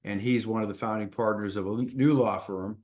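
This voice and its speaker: A man's voice: fairly low pitch, fairly even intonation, brisk, a little mellow. A middle-aged man with a Boston accent hosting a casual interview podcast, often repeating words as he starts his sentences. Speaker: podcast host.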